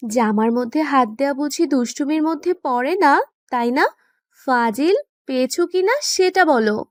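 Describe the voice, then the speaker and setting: A woman's voice: high voice, normal speed, no room sound, studio quality, lightly acted. A woman playing a pouty, teasing young woman in love who scolds and complains in a romantic audio story. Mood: playful scolding. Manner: complaining, playfully indignant.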